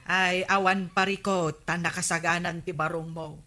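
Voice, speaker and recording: A woman with an Ilocano accent playing a sharp-tongued, nagging village wife in a radio comedy drama. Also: lowish voice, steady tone, moderate pace.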